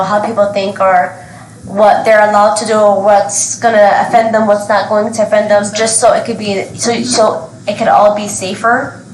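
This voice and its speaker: Woman chatting on a podcast panel, stumbles over starts, echoey room, bit of background hiss. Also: mid-range voice. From Yemen. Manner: chatty, repeats words when starting. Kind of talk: casual panel discussion. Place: restaurant, live event.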